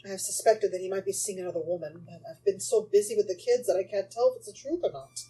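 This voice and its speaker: A woman's voice: medium pitch, quick pace, mellow, recorded from a distance, a little hiss or hum, natural voice, rough recording. A woman acting an anxious, pleading young mother haunted by a ghost in an audio drama. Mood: uneasy, hurt.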